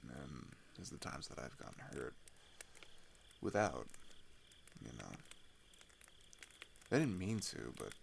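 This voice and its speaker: A man playing an open-hearted, weary teenage climber on the run in an ASMR roleplay, speaking in a halting, confiding way with a low, gravelly voice. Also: big pitch swings, a little hiss or hum, heavily acted. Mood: subdued, wounded. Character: open-hearted teenage male climber fleeing across a border, weary but caring. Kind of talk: ASMR roleplay audio drama.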